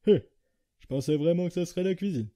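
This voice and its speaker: Man playing a laid-back, very mellow skeleton. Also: on the high side, expressive, quick pace, lightly acted. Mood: reluctant, casual. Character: laid-back, reluctant male skeleton with a grave secret. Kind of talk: comic dub voice acting.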